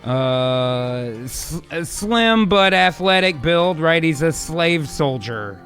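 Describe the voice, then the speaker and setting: A man hosting a casual live stream, brainstorming out loud in a halting way, trailing off into hums as he mulls over words. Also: a little high, animated, soft background music. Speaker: host of a live streamed improv show. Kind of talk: casual brainstorming, thinking aloud.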